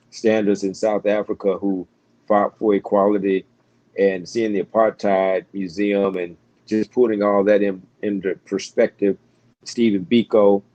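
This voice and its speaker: An American man, a pastor, talking in a relaxed interview with frequent fillers and restarts. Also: fairly low pitch, dry recording, dull audio. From United States. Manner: halting, many restarts and fillers. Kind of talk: casual interview conversation.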